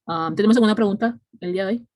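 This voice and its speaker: A woman from San Andrés, Colombia, whose first language is Creole English, speaking Spanish with a fairly neutral island accent while teaching an English class online. She talks in a measured way with frequent fillers. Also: medium pitch, darkish tone.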